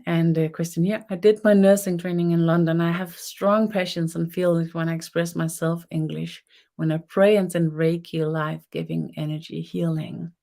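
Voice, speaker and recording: Softly spoken Danish woman teaching. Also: fairly low pitch, steady tone, creaky. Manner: soft, unhurried, searching for words with restarts. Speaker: spiritual teacher. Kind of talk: webinar teaching.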